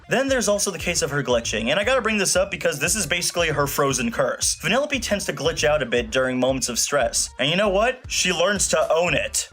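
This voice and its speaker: Snarky, higher-pitched man reviewing a film. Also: fairly bright tone, husky. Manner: snarky, blunt, emphatic. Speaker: video reviewer. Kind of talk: scripted comedic film review commentary.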